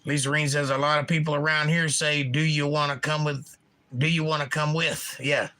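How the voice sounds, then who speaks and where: Crisp-spoken bright man with a Georgia drawl. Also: fairly high pitch, moderate pace. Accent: Georgia, US South. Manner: enunciates crisply, animated, opinionated. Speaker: livestream host. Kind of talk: casual chat reading viewer comments.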